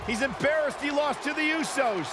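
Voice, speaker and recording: A man playing an excitable, dramatic wrestling play-by-play announcer in a video game, shouting emphatically. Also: very high voice, normal speed. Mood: excited. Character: excitable male wrestling announcer reacting with shock. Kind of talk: excited scripted sports commentary in a video game. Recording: loud crowd and music behind. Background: roaring arena crowd and music.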